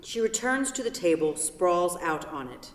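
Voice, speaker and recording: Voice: mid-range voice, very animated, normal speed. A narrator reading stage directions as scripted narration in a staged play reading. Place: theatre stage. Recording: echoey theatre hall, distant mic.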